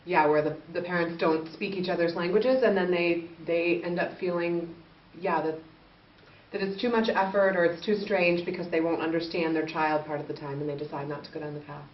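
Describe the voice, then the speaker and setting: A woman's voice: lowish voice, fairly even intonation, brisk. A woman hosting an interview, asking questions in a friendly, chatty way and laughing easily. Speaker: interviewer, host. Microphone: far from the mic. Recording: distant, echoey, muffled with hiss.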